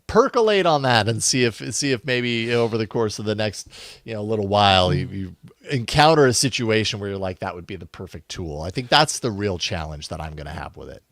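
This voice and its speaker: A man with a fairly low voice co-hosts a casual tech podcast. He talks in a thoughtful, slightly rambling, conversational way. The sound is close and dry, with no room on it. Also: lively intonation, fairly quick, fairly bright tone, no room sound. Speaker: podcast co-host.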